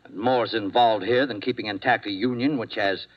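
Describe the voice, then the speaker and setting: A man's voice: on the low side, moderate pace, natural voice. Guy acting a worried doctor in an old fifties radio play, pleading and hesitant, dull muffled sound. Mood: earnest, grave. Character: anxious, earnest male doctor urging caution. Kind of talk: 1950s radio drama acting.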